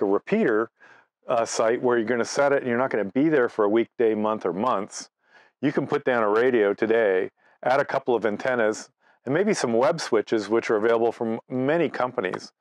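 Older guy in his 60s giving a laid out how-to talk, chatty, no echo at all. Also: mid-range voice. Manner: conversational, explains through step-by-step examples and anecdotes. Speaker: presenter, amateur radio operator. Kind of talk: informal instructional presentation. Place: outdoors in front of leafy trees.

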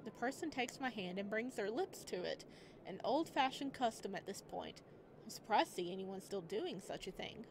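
Woman narrating a story game, mid pitch, faint hiss. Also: expressive. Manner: reads narration, adds quick joking asides. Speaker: game streamer. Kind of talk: reading a visual novel aloud with commentary.

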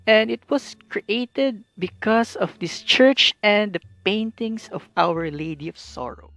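Creaky-voiced young Filipino man narrating. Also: high voice, fairly bright tone. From Philippines. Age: young adult. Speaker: student presenter. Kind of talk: scripted presentation narration. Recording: music behind the narration. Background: background music.

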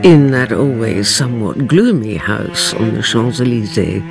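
A woman's voice: a bass voice, gravelly, over music, full character voice. An actress playing an older woman narrator, knowing and theatrical, drawing out her words in a radio drama. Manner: slow, drawn-out, theatrical.

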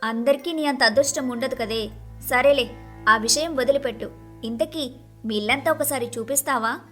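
A woman acts out an envious young wife in an animated moral tale. Her voice is high and sharp, full of dramatic grumbling and wheedling. Music plays underneath. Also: normal speed. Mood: grumbling envy. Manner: expressive, dramatic complaining and wheedling. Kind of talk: animated moral-story voice acting.

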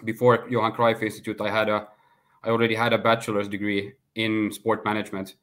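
Flat-voiced man from Finland on video call. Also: fairly low pitch. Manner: measured, flat delivery with filler pauses. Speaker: guest, sports consultant. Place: home room, indoors. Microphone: laptop webcam on video call.

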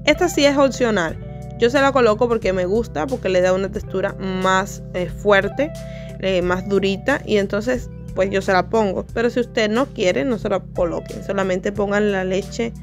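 Chatty woman vlogger over soft music. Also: mid-range voice, normal speed. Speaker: home decor vlogger. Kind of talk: casual voice-over vlog narration. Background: soft background music.

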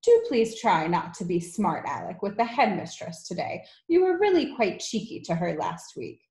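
Woman playing female schoolteacher, gentle, principled, politely firm, earnest, children's audiobook, echoing room. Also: medium pitch, very animated, moderate pace. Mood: cautioning.

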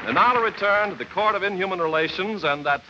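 An actor playing a grandiloquent 1940s radio announcer for a mock courtroom comedy show. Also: male voice, sits high, quick pace, close to an everyday voice. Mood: mock-solemn. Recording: muffled, very noisy 1940s film soundtrack.

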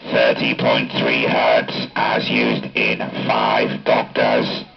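Buzzing robotic man, gravelly and slow. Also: very deep voice, monotone, faint music behind. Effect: Dalek ring-modulator robot voice effect. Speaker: hobbyist demonstrating a voice changer. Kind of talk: product test demonstration. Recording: dull lo-fi capture from amplifier speaker.

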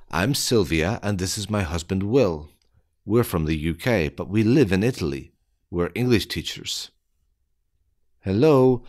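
A man with a low, deep voice reads out an English listening quiz. He speaks extremely slowly, setting each phrase apart so that learners can follow every word. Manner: deliberate, evenly spaced phrasing for learners. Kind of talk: slow scripted quiz reading.

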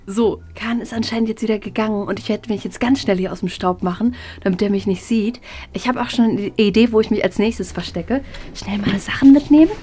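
A woman's voice: medium pitch, brisk, dark tone, slight background noise. A young woman talking casually to the camera as a vlog host. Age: young adult. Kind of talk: casual vlog talk to camera, prank challenge. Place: parked car, small tiled toilet, small studio room. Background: background music.

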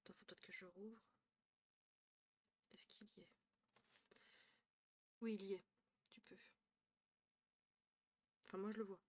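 A woman's voice: mid-range voice, fairly even intonation, measured pace, pauses often. Tired, chatty young woman streaming at home. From northern France. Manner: chatty, swears casually, thinks aloud, drops to murmurs. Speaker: Twitch streamer. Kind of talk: casual live-stream chat with viewers. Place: small room at home, indoors. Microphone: headset.

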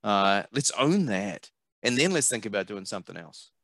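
A middle-aged man with a New Zealand accent, a marketing consultant speaking as an interview guest over a headset on a video call, animated and emphatic, often repeating words as he thinks. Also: lowish voice, moderate pace, dry recording. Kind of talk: conversational interview. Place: home office, video call. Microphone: headset mic.

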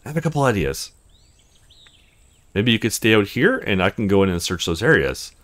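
A man's voice: lowish voice, very animated, brisk, a bit bright, no echo. A man voicing a laid-back, practical young man in a visual novel, casual and teasing in manner. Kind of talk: visual novel character reading.

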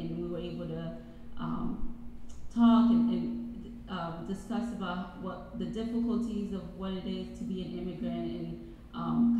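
A woman's voice: on the low side, background noise. A young woman whose first language is Swahili, speaking hesitantly with many fillers on a student panel into a handheld mic in a large hall. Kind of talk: panel presentation. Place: large reverberant college hall. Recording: echoey hall, music behind.

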